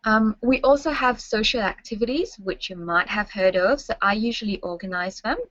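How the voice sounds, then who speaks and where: A woman's voice: mid-range voice, moderate pace, a bit bright. A young woman guides students through a webinar, friendly and instructive, often checking they follow along. The sound is dry with no room echo, and slightly muffled and dull. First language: French. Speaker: university staff presenter. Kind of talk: webinar presentation.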